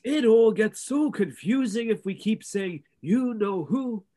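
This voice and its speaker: A man reading a story aloud, putting on a gentle, very old wizard with a raised, slow, kindly voice, set back from the mic. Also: sits high, lively intonation, voice pushed up.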